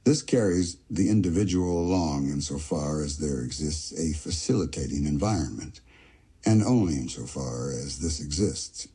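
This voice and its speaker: A man giving a slow, measured audiobook-style reading of a psychoanalytic essay, pausing on the section headings. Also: extremely low pitch, animated, dark tone, slightly rough. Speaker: reader of an essay.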